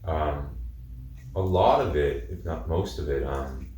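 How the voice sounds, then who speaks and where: A man directing a film, speaking softly and reflectively with many fillers in a casual interview. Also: extremely low pitch, slow. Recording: echoey room, distant mic, faint noise.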